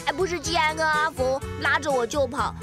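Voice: high voice, fairly quick, natural voice. An actor voicing a defensive, whiny young schoolboy in a children's radio drama. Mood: complaining. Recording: old cassette drama with music.

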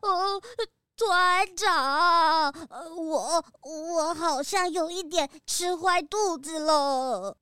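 A tiny cute kid character, extremely high and bright, hesitant and groaning. The slow, halting radio-play voice is cartoonishly childlike. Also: female voice, dry recording, clean studio sound, full character voice. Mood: pained. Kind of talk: children's audio drama.

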